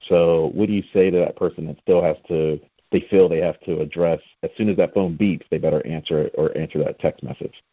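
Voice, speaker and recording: A man with a deep voice hosting an interview, asking measured, thoughtful questions. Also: steady tone, no room sound. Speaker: interview host. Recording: dry, slightly dull lo-fi audio.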